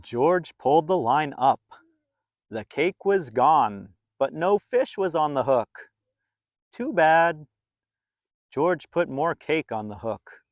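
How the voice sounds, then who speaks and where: A man narrating a children's storybook in an expressive, deliberate way, drawing out phrases for young listeners. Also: fairly high pitch, dry recording, studio quality. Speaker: storybook reader.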